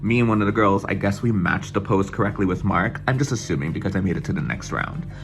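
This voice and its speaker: A young man from the United States telling an excited, swearing storytime vlog and mimicking other people's voices as he goes. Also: deep voice, fast, vocal fry, over music. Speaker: vlogger. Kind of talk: casual storytime chat.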